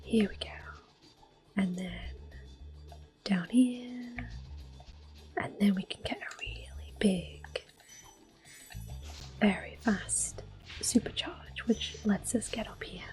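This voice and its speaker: Slow, whispering woman. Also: medium pitch, faint music behind. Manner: soft-spoken whisper, gentle and unhurried. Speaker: ASMR gaming host. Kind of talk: whispered ASMR gameplay commentary. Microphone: close ASMR microphone.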